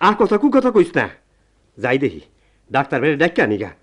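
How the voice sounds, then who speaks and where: A man's voice: fairly high pitch, wildly swooping pitch, fast talker. A man playing a practical, protective young villager in a radio drama, earnest, with a rural Bangladeshi accent. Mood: concerned.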